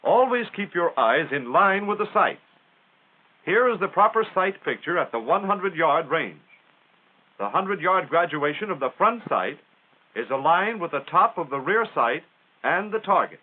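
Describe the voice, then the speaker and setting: A man's voice: fairly high pitch, very animated, moderate pace. An American man narrating a 1940s military training film in a plain-spoken, instructional way with folksy phrasing. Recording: tinny, band-limited 1940s film soundtrack.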